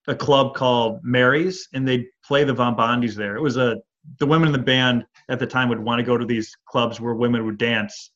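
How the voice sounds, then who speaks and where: A man's voice: mid-range voice, a bit bright. A man with a Michigan accent and a slightly nasal voice talks through old band stories with loose, rambling fillers. His voice sits mid-range. The sound is dull and lo-fi, like a remote call. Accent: Midwestern US, Michigan. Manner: rambling, storytelling, many fillers. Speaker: band singer and guitarist, guest. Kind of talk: casual interview chat.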